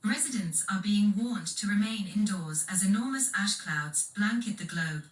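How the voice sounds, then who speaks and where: A woman's voice: mid-range voice, moderate pace, lightly acted. Woman as serious news anchor, echoey and distant. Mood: urgent, serious. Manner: formal, serious. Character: serious female TV news anchor covering a global crisis. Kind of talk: scripted live news report.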